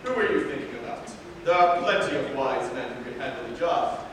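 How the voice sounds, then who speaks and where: A man's voice: medium pitch, animated, a little fast, a bit bright, lightly acted. A man playing a pompous, protective king and father in a staged play reading, speaking formally and dramatically. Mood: fatherly. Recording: echoey hall, distant mic, background noise.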